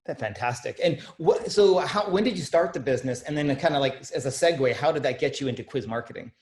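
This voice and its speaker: A man's voice: a little high, quick pace, darkish tone. A man hosting a podcast interview who asks friendly questions that often stumble and restart. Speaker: podcast host.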